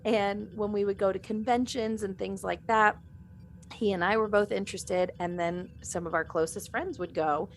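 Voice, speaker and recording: A woman's voice: mid-range voice, steady tone, normal speed. Woman, mid-range voice, casual podcast moderator, informal phrasing, faint noisy ambience. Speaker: podcast cast member moderating fan questions. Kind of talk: casual group chat.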